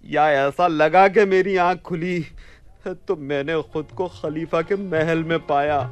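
ऊँचे सुर का सनकी, अकड़ू नौजवान. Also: male voice, a little high, slow, clean tone. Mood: aggrieved, flustered. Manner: indignant, pompous, excitable. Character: deluded, haughty young man claiming to be Caliph. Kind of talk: theatrical radio play acting.

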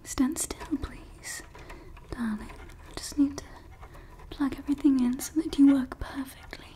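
A woman playing a fussy, playful female evil scientist in an ASMR roleplay, whispering softly and close to the microphone. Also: high-pitched, steady tone, unhurried. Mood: soothing. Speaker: ASMR creator.

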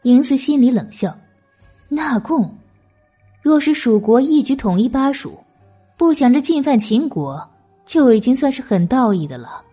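A woman's voice: high-pitched, very animated, measured pace, mellow, slightly rough, soft background music. A woman narrating a historical novel as a scripted audiobook.

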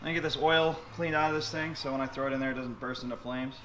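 A man's voice: mid-range voice, fairly even intonation, fast. A young man hosting a casual workshop how-to vlog, joking offhand and swearing casually as he works on a motor. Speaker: moped mechanic host. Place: large cluttered garage workshop, indoors. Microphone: camera mic from across the room. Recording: echoey workshop, camera far off, background noise.

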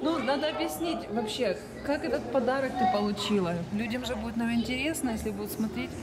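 A woman's voice: on the high side, quick pace. A woman chatting casually behind the camera as she hosts a family vlog. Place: busy cinema lobby. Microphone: handheld camera, speaker behind it. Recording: noisy public space, loud crowd chatter.

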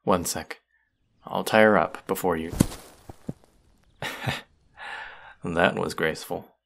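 A man playing a bitter, menacing fantasy villain in an audio roleplay, with a gravelly, husky voice and a sardonic edge. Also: low voice, fairly even intonation, background noise, full character voice. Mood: cold mockery. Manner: menacing, sardonic, slow and deliberate. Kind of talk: dramatic audio roleplay acting addressed to the listener.

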